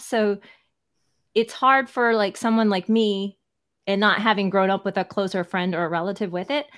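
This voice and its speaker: Young woman guest co-host, mid-pitched, rambling chatty delivery on a desk mic. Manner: rambling, frequent "like" and "you know". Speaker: co-host, podcaster. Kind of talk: casual interview chat. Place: home room. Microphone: desk mic on a video call.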